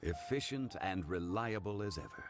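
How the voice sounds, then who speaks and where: Refined gentleman scholar, deep voice, expressive intonation, courteous, composed, video game, music behind. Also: moderate pace. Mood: calm, approving. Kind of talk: English video game voice acting.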